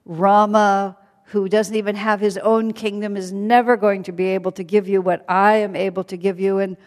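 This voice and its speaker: A woman's voice: mid-range voice, little pitch movement, moderate pace, in a large hall, natural voice. A woman voicing a proud, menacing demon king in a spoken story. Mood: boastful.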